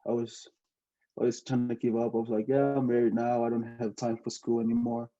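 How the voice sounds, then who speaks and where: A young man from Tonga tells his story in English, his first language being Tongan. He talks haltingly, with plenty of fillers and laughs. His voice is very dark and mellow, and the recording is dull and lo-fi. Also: medium pitch, flat delivery. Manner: hesitant, many fillers, laughs often. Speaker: podcast guest, student pilot. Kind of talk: casual interview storytelling.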